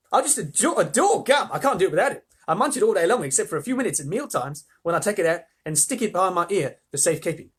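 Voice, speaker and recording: A reader voicing a brash, boastful gum-chewing girl from a children's storybook, talking loud and fast in a put-on Australian accent. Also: mid-range voice, breathy edge, voice pushed up. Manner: fast, emphatic, chattering.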